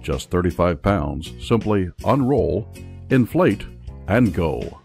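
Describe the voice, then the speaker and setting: A man reading a scripted product ad voice-over in a smooth, salesy way. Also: deep voice, big pitch swings, slow, a little mellow, soft background music. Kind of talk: scripted ad narration.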